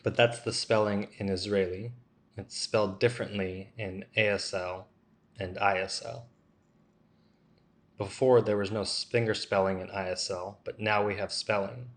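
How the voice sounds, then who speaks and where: A man with a lowish voice speaks for a signing guest in an interview. His delivery is measured and unhurried, and he takes the answers in short steady phrases. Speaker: interpreter voicing a signing artist.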